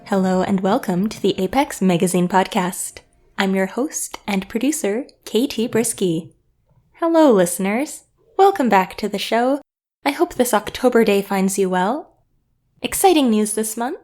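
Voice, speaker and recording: A woman's voice: mid-range voice, animated, normal speed, darkish tone. A woman giving a scripted podcast introduction as the show's host.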